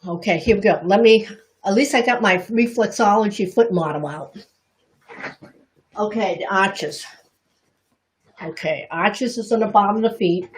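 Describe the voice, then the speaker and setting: Chinese Bostonian woman teaching reflexology. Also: medium pitch. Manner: chatty, candid, self-deprecating, with restarts. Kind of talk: livestream teaching talk.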